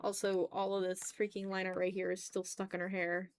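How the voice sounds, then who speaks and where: A woman's voice: mid-range voice, monotone, a little fast. A woman chatting casually as she draws on a livestream, teasing her viewers with playful mock exasperation. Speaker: art streamer. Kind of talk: casual livestream chat while drawing.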